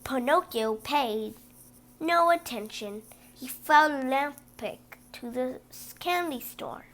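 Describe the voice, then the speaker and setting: A woman reading a children's story aloud with animated, emphatic stresses and brief asides. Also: high voice, slow pace. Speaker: storybook reader.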